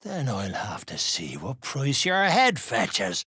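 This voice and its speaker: An actor playing a foul-mouthed, surly duergar dwarf fighter in a video game, with a rough, husky voice and coarse slang. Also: male voice, mid-range voice, huge pitch swings, slow, bright, forceful tone, no echo, clean studio sound, heavily acted. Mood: angry, threatening. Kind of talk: video game character lines.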